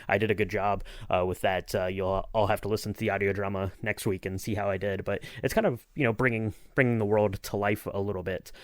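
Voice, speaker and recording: Male podcast host, fairly low voice, loose rambling conversational delivery, very dry recording. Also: no echo. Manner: rambling, hedging with kind of and you know. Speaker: podcast host and sound designer. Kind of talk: casual behind-the-scenes chat.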